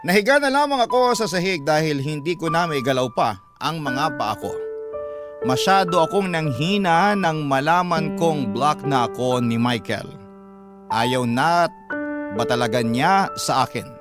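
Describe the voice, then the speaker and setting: A man's voice: a little high, expressive, a little slow. A man narrating a scripted first-person dramatic story in the style of a radio storyteller. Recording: loud music under the voice. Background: loud music.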